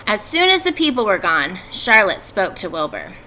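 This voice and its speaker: A woman in her thirties, a teacher reading a storybook aloud to pupils, expressive and clearly phrased. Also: mid-range voice, moderate pace, slight background noise, poor audio. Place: indoors at home by a fireplace.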